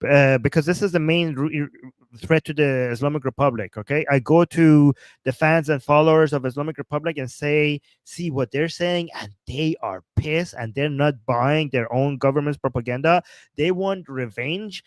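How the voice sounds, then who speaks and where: A man with a Persian accent hosts a casual livestream. His voice sits fairly high, and it sounds dry and close. He talks in an excited, rambling way, breaking off and restarting his sentences. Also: normal speed, dry recording. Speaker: political livestream host.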